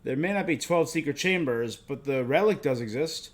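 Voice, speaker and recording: A man's voice: medium pitch, lively intonation, normal speed, lightly acted. A man reading game dialogue aloud as a proud, upright old martial arts master, earnest and formal.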